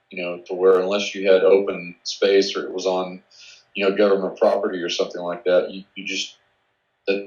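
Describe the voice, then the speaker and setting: Low voiced guy being interviewed, measured talk with restarts, kinda dull lo-fi sound and roomy echo. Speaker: guest researcher. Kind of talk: conversational interview.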